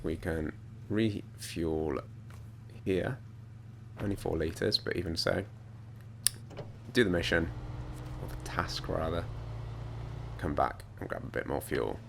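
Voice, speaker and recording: A British man with a voice croaky from hay fever, giving casual, wryly self-deprecating commentary as he plays a video game. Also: low voice, slow, pauses often, background noise. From United Kingdom. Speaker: gaming let's player. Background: video game music and truck engine.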